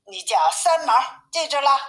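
A woman's voice: high voice, animated, normal speed, sharp tone, pitched above the performer's own voice. A woman voicing a caring, bossy mother bear in a children's storybook, instructing and calling out commands.

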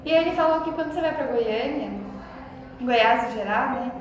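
Young são paulo girl chatting, high voice, lots of slang and laughs, sounds far off in a big echoey room. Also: normal speed, dull audio. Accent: São Paulo Brazilian Portuguese. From São Paulo, Brazil. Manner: animated, slangy, laughs often. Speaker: podcast co-host. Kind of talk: casual chat. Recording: very echoey room, distant mic, music underneath.

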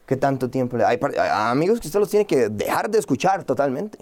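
A man's voice: medium pitch, big pitch swings, fairly quick, darkish tone. A young man from Costa Rica with a Costa Rican accent, giving a motivational seminar talk to a live audience, asking rhetorical questions and acting out quoted voices. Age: young adult. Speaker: guest speaker, entrepreneur.

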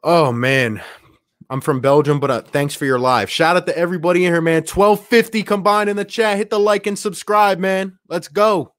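A man hosting a sports livestream in an excited, casual way, reading out viewer messages with plenty of slangy exclamations. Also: high-pitched, normal speed, no echo, studio quality. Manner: slangy, exclamatory, addresses listeners as man and bro. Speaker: livestream host.

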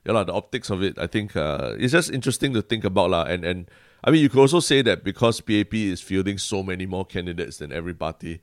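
A man's voice: low-pitched, lively intonation, quick pace, no room sound, clean studio sound. A man with a Singaporean accent co-hosting a podcast, talking casually and colloquially with Singlish particles. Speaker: podcast co-host. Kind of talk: casual conversational political chat.

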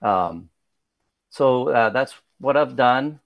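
Hesitant Spanish-accented man, gravelly. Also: mid-range voice, animated, takes it slowly, no room sound, muffled recording. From South Texas. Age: 30s. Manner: hesitant, many fillers and restarts; modest, soft-spoken. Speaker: political candidate. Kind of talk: podcast interview.

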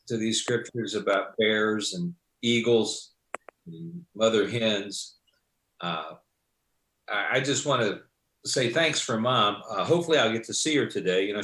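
A man's voice: lowish voice, a bit bright, reverberant, low-quality recording. A man giving a hesitant personal testimony at a church service, with frequent uh fillers. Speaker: church member sharing.

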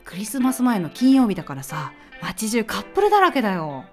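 A woman's voice: medium pitch, wildly swooping pitch, brisk, soft background music, pitched below the performer's own voice. A woman playing a sweet young woman who misses her long-distance boyfriend, talking affectionately on the phone in an amateur radio drama. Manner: soft, affectionate phone talk.

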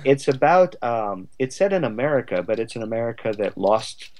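A man talking as an interview guest, a writer thinking aloud in a halting, discursive, conversational way. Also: mid-range voice, big pitch swings, a little mellow. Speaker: guest writer of a radio drama.

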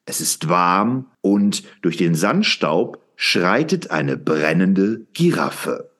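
Guy narrating a radio play, slow and theatrical, pitch goes up and down a lot. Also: sing-song, fairly bright tone. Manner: theatrical, addresses the listener directly. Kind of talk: scripted audio play storytelling.

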